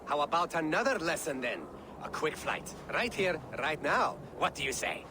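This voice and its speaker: A man's voice: fairly high pitch, very animated, moderate pace, background noise. A man playing a jovial, witty Viking poet in a video game, theatrical and lilting. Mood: jovial, teasing. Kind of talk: theatrical video game acting, declaiming rhymes.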